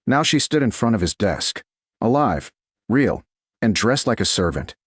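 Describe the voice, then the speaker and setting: A male audiobook narrator tells a romance in a low voice. His phrasing is dramatic and deliberate, with lively pitch movement, and the recording is very dry. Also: slightly rough, no room sound. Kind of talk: scripted romantic story narration.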